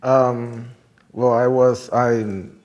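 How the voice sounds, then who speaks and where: A man's voice: medium pitch, flat delivery, extremely slow, a little mellow. A man, a film director, telling his own story conversationally as a panelist. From Puerto Rico.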